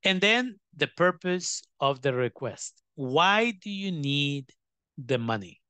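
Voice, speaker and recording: A man's voice: fairly high pitch, expressive, slow pace, bright, forceful tone, clean tone, dry recording. A man giving an instructional talk as an educator, speaking in an emphatic, direct way to students. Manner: emphatic, direct, checks in with "right" and "okay". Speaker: educator presenting scholarship advice.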